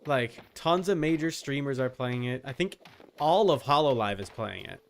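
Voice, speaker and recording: A man's voice: medium pitch, expressive, moderate pace, gravelly. A man giving casual livestream commentary on a game, thinking aloud in slangy language with some swearing. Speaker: livestream host.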